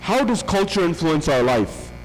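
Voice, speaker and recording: A man's voice: on the high side, very animated, moderate pace, in a large hall, a little hiss or hum, low-quality recording. A man giving a religious lecture as a visiting speaker, asking rhetorical questions and repeating phrases for emphasis.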